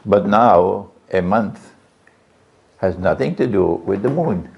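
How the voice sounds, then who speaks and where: A man's voice: fairly low pitch, slow, vocal fry. A male Islamic preacher giving a religious lecture to a live audience, questioning the listeners and repeating key phrases. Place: lecture venue. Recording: dull lo-fi lecture recording.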